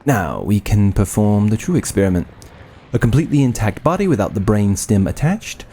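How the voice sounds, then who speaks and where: Man acting a coldly obsessive mad scientist, low-voiced. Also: very animated, a little fast, dark tone, a little hiss or hum. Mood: cold, clinical. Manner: clinical, commanding, gleefully fascinated. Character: cold, obsessive male mad scientist and army surgeon. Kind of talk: horror audio drama acting.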